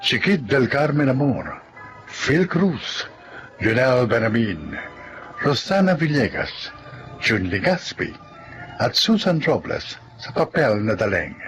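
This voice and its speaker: A man's voice: mid-range voice, very animated, slow. A man announcing in a scripted style, as for the closing of a radio drama. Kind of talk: scripted drama narration and credits. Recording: music behind.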